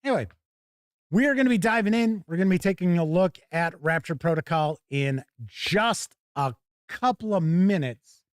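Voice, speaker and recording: A man with an American accent and a fairly high-set voice hosts a casual live stream. He chats colloquially, leaning on his words for emphasis. The sound is close and dry, with very little room in it. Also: animated, moderate pace, no room sound. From United States. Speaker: YouTube live stream host.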